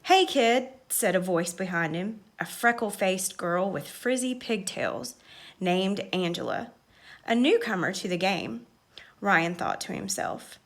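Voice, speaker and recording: A woman reading a children's story aloud with expressive, measured, dramatic narration. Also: on the low side.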